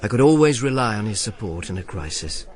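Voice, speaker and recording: An actor playing a wry, jealous, stubborn Roman informer who narrates his own story in a radio drama, deadpan and sardonic. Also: fairly low pitch, a little fast. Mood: dry narration. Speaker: first-person narrator and lead character.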